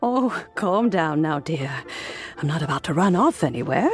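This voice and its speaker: An actress playing a kindly, teasing elderly woman who is a respected town elder, speaking in a soft, motherly way. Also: low voice, animated, moderate pace. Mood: gentle, fond. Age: older. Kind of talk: game cutscene voice acting. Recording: game audio with soft background music.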